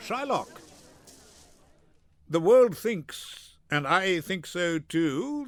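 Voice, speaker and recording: A man's voice: fairly high pitch, fairly bright tone, dry recording, pitched above the performer's own voice. A man acting a grave, imperious Duke presiding over a court, formal and commanding, in a Shakespearean reading.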